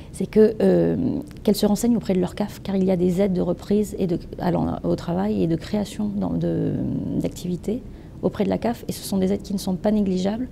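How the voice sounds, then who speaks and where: A woman in her thirties answering interview questions at a trade fair stand, lively and playful with words, gesturing as she talks. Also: medium pitch, vocal fry, slight background noise. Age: 30s. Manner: animated, gestures with hands, playful wordplay. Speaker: entrepreneur interviewee. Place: event stand with branded backdrop, indoors.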